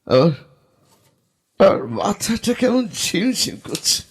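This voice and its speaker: Anxious, high-voiced young man. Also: sing-song, exaggerated voice. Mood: shaken. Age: young adult. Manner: halting, breathy hesitations and repeated words. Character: anxious young man haunted by terrifying visions. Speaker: protagonist and first-person narrator. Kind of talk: audio horror drama acting.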